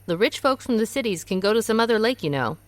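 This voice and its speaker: A woman playing a shrewd restaurant owner who plays amateur sleuth in a radio drama, wry and quick-witted. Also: medium pitch, animated, no echo, lightly acted. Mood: thoughtful.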